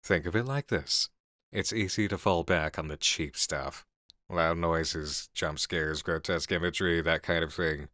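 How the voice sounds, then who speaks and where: Man playing male coworker, low voice, composed, persuasive, deliberate pauses, dry studio-like sound, ASMR audio drama. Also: sharp tone, no echo. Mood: thoughtful, persuasive. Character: composed, cerebral male coworker with a seductive edge.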